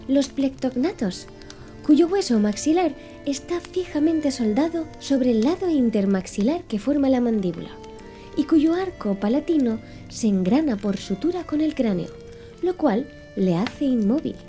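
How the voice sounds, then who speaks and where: A woman voicing a pedantic young male servant in an audiobook, reciting long classifications with calm impassivity. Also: high-pitched, unhurried, soft background music, voice pushed up. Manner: impassive, reciting lists precisely.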